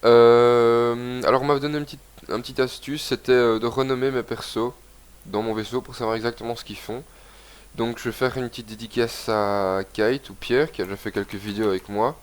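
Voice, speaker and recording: A man's voice: mid-range voice, fairly even intonation, a little fast, clean tone. A young man with a cold giving rambling, casual commentary while playing a video game and reading its text aloud. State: has a cold, congested. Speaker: gaming video host. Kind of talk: casual let's-play commentary.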